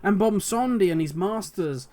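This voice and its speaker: A man with a notably high voice, his throat worn and going hoarse. He rambles passionately through his thoughts, musing aloud as the host of his own commentary video. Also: animated, normal speed, darkish tone. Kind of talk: solo commentary on game lore.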